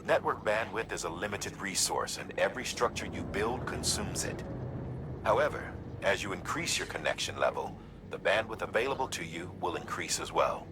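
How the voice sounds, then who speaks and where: An actor playing an instructive adviser in a video game, explaining things in an orderly way. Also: male voice, low-pitched, animated, normal speed, background noise. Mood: informative.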